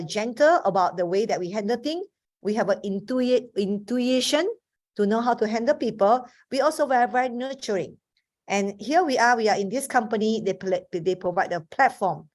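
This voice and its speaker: A woman in her fifties from Malaysia, a native Chinese speaker with a Malaysian accent, giving an earnest motivational talk on a video call with frequent restarts. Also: mid-range voice. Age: 50s. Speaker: webinar speaker.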